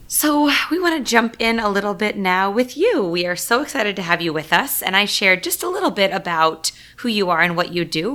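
A woman's voice: mid-range voice, sharp tone. A woman hosting a podcast interview in a casual, chatty way, effusive and informal in how she talks. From United States. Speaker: podcast host.

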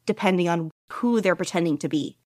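A woman's voice: lowish voice, brisk, no room sound, clean studio sound. A woman hosting a casual podcast chat, talking enthusiastically with lots of fillers and laughter. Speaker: podcast host.

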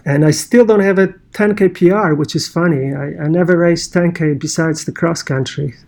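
Polish-accented man, halting and chatty. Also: fairly high pitch, creaky, darkish tone. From Poland. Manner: halting, many restarts and fillers. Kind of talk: casual conversational storytelling.

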